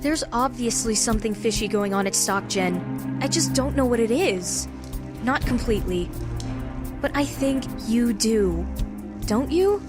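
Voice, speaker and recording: A woman's voice: fairly high pitch, lively intonation, a little slow, loud music behind the voice. A woman playing a brave, earnest young lab intern in a comic-book audio drama, talking in a conversational, coaxing way. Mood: pleading.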